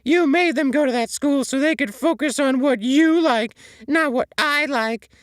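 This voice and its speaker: A man voicing a whiny, frantic male sea sponge who squeaks and wails in a storybook reading. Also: measured pace, fairly bright tone, no room sound, studio quality. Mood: accusing. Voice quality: squeaky, shrill. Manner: wailing, near-hysterical outbursts.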